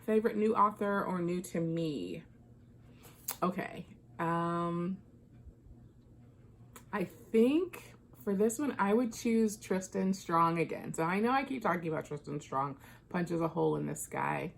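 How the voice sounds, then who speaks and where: A woman's voice: fairly low pitch, lively intonation, unhurried, gravelly. A woman giving an informal, conversational book review, thinking aloud as she goes. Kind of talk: casual book chat vlog.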